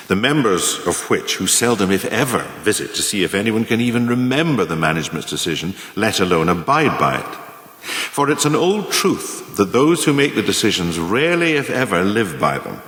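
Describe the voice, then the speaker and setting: An actor playing a shrewd, ruthless management mentor in a radio play, lecturing in formal, measured, emphatic lessons. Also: male voice, low-pitched, fairly bright tone. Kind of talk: scripted radio play monologue, delivered as a lecture in numbered lessons. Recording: echoey room with long reverb.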